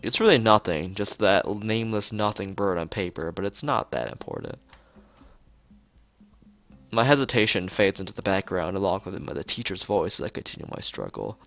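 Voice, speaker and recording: A man with a low-set voice and lively intonation reads game text aloud as a let's player. His delivery is informal and a little stumbling, with reread words and casual asides. A gravelly fry runs through it, and faint music plays behind him. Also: normal speed, no echo. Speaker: let's player reading a visual novel aloud. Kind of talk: informal read-aloud narration with off-the-cuff asides.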